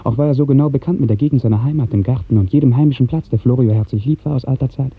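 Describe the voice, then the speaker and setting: A man narrating a literary radio drama in 1950s German style, with measured, lyrical storytelling. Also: mid-range voice, slightly rough, no echo. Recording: muffled, narrow-band 1950s radio recording.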